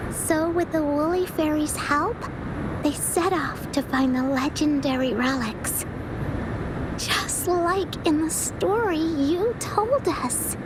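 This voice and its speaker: Slow, timid, high-voiced young girl. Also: animated, background noise. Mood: wistful. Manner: hesitant, timid. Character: shy, wistful young girl with a hesitant temper. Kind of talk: video game voice acting.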